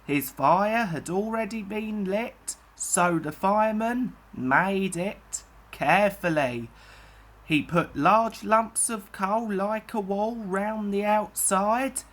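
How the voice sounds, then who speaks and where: A woman's voice: mid-range voice, takes it slowly. A woman reading a children's story aloud as scripted narration.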